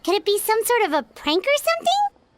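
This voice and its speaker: Squeaky, very high-pitched little fairy sidekick. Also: female voice, huge pitch swings, fairly quick, dry recording, heavily acted. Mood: puzzled. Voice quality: squeaky, childlike. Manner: perky, refers to herself by name. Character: small childlike female fairy companion, chirpy and curious. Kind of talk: video game character voice acting.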